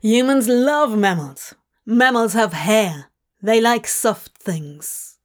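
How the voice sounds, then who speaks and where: A woman's voice: on the high side, lively intonation, slow pace. Woman as an exasperated, snappy male snake demon. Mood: insistent. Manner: terse, snappy, emphatic.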